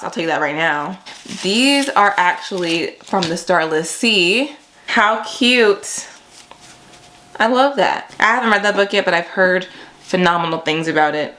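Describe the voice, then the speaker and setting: A woman's voice: medium pitch, a bit bright, a little hiss or hum. A young woman vlogging in a casual, chatty and informal way, using slang and addressing her viewers as friends. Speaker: book vlogger. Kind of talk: casual vlog chat.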